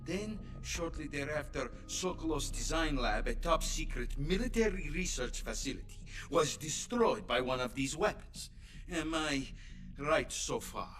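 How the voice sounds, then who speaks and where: A man playing a stern, shrewd Soviet leader, formal, deliberate and menacingly polite, in a dramatic game cutscene. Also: on the high side, expressive, fairly bright tone. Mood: angry, accusing. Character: stern, shrewd Soviet premier, imperious and exasperated. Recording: dramatic music behind.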